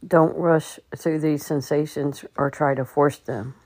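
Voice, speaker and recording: A woman with a low voice guides a meditation in a very even tone. She gives gentle instructions and leaves long pauses between phrases. The sound is dry and close, with very little room around it. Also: no room sound. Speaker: meditation guide and podcast host.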